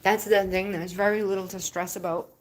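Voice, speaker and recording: A woman's voice: mid-range voice, flat delivery, a little fast, a little hiss or hum. Chatty woman in her fifties. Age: 50s. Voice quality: laughs often, rambling and chatty. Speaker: livestream host. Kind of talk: casual livestream chat.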